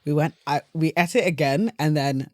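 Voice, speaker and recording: Young british woman on a podcast, low voice, chatty n animated storytelling, dry close sound. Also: normal speed, dry recording. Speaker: podcast guest, entrepreneur. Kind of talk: casual chat.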